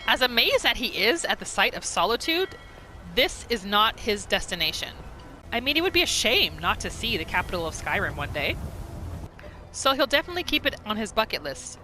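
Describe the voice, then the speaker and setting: A woman's voice: a little high, sing-song, fairly quick, pushed and bright, soft background music. A woman giving casual, storytelling commentary as she narrates a roleplay video game. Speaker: gamer narrating a roleplay series.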